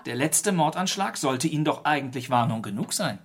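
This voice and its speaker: An actor playing a composed, probing gentleman detective in a radio crime drama, measured and dryly polite. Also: a little high, lively intonation, slightly rough. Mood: probing, skeptical.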